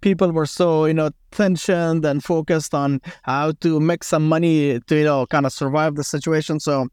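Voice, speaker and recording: Man in his thirties, Afghan accent, somewhat high voice, smooth and clear, dry close sound, halting with fillers. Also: fairly even intonation, a little mellow, dry recording. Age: 30s. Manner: halting, many fillers and restarts. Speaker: guest, former special forces commander. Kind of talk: casual interview talk.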